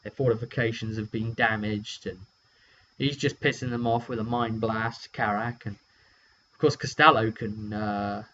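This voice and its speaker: A man's voice: on the low side, normal speed. A British man rambling hesitantly and chattily through a casual, unscripted recap of a tabletop game session, as its game master. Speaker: game master and vidcast host. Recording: dull lo-fi recording with steady hum.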